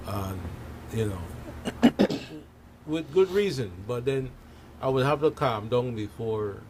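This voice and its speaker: A man's voice: lowish voice, a little mellow, poor audio. A man in a casual discussion, hesitant and repeating his words. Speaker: discussion guest. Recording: faint background noise.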